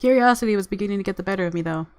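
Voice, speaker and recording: A woman's voice: medium pitch, rapid-fire, no echo, clean studio sound. A woman narrating a visual novel aloud as a let's-player, mixing story reading with casual commentary.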